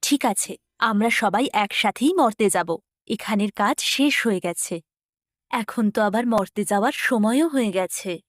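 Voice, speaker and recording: A woman's voice: mid-range voice, unhurried, no echo, clean studio sound, lightly acted. A woman playing a composed, affectionate mother goddess in a mythological cartoon, assured and motherly.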